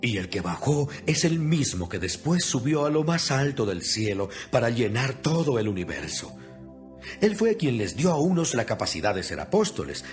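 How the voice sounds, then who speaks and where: A man narrates an audio Bible with a wide, lively swing in intonation. His delivery is earnest and instructive, in the manner of dramatized scripture reading. Music plays clearly behind his mid-pitched voice. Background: background music.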